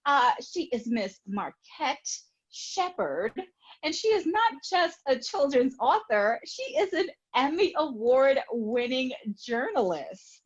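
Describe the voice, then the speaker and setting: A woman hosting a children's read-aloud show in a gushing, excited manner. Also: high-pitched, slow, slightly rough, lo-fi sound.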